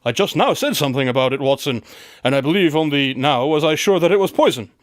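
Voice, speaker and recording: A man's voice: on the high side, animated, fast, no room sound, studio quality, natural voice. An actor playing a brilliant, aloof detective in a radio drama, matter-of-fact and dryly dismissive as he lays out deductions. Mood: smug, matter-of-fact. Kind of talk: scripted radio drama acting.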